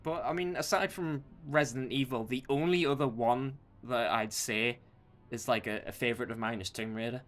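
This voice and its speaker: Male podcast co-host, mid pitch, informal film chat, stop-start phrasing with repeated words. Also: steady tone, normal speed, soft background music. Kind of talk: casual chat about films and games.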